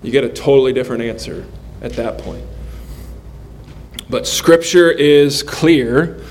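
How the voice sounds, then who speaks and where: A man in his forties preaching a sermon in an earnest, measured way, pausing between phrases. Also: mid-range voice, a little mellow. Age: 40s. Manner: earnest, measured delivery with pauses.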